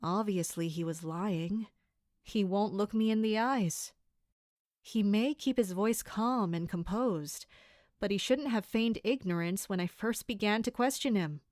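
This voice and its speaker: A woman's voice: mid-range voice, a little slow, darkish tone, no room sound, studio quality, natural voice. A woman playing a polite, observant royal maid in an audio drama, speaking articulately. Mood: measured, guarded. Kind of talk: audio drama acting with inner-monologue narration.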